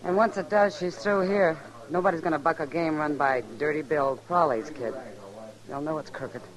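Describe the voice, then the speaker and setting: A woman's voice: deep voice, steady tone, moderate pace, natural voice, poor audio. An actress playing a worldly, frank American saloon woman in a radio Western, with a direct, confiding manner. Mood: urgent, confiding. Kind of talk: old-time radio Western drama acting. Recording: saloon crowd murmur behind.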